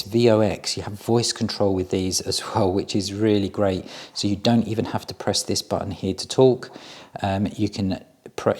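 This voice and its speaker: A man's voice: lowish voice, darkish tone. A man giving a casual hands-on product review, explaining each step in a plain-spoken way.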